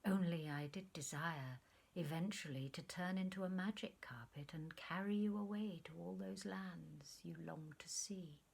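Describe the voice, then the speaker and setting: A woman's voice: on the low side, a little slow, gravelly, a little mellow, slightly rough, full character voice. A woman voicing a self-absorbed, wistful man who reminisces to a former lover, effusive and earnest, in a short story reading. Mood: soft, intimate.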